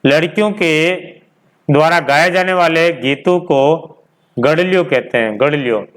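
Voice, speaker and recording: A male teacher gives an informal exam-prep lecture. His voice sits a little high and is very clean and smooth. He repeats key points and often asks a question, then supplies the answer himself. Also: a little slow, fairly bright tone.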